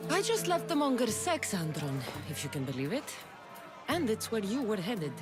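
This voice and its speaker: A woman's voice: on the high side, wildly swooping pitch, moderate pace, music in the background. A woman playing a tough, protective young mercenary in a video game, dry and defiant. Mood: stern, reassuring.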